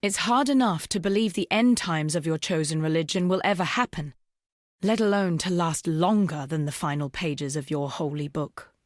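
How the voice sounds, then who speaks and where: A woman playing a solemn, proud rebel survivor in a dark fantasy game, speaking formally and with measured, archaic phrasing. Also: low voice, dry recording, clean studio sound, close to an everyday voice. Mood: bitter, grave. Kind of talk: scripted video game character dialogue.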